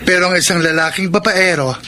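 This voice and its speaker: An actor playing a caring but skeptical confidant in a radio drama, speaking in a resigned, cautioning way. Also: male voice, high-pitched, steady tone, a little fast, noisy background, close to an everyday voice. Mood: doubtful, concerned.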